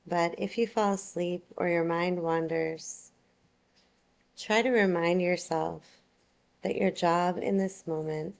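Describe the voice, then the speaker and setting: A woman teaching yoga nidra, guiding a relaxation meditation in a soothing, unhurried, gentle way. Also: fairly low pitch, gravelly.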